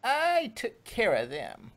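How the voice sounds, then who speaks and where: A man's voice: very high-pitched, wildly swooping pitch, takes it slowly, a bit bright, voice pushed up, exaggerated voice. A man voicing a kindly, protective grandmother pony in a story reading, sorrowful but firm. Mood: smug, reassuring.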